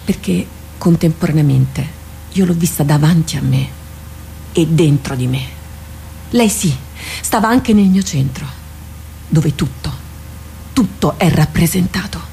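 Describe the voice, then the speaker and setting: An actress playing an anguished, loyal army captain in a radio drama, speaking haltingly and with theatrical fervour. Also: female voice, deep voice, creaky, warm tone, a little hiss or hum. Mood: hushed, searching. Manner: impassioned, halting, repeats phrases for emphasis. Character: anguished female army captain, loyal soldier wrestling with herself.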